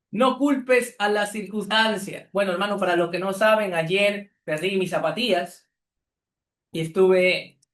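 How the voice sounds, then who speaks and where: A man from Peru, preaching an earnest sermon as pastor with deliberate pauses. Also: high voice, a bit bright. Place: church. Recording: echoey church hall.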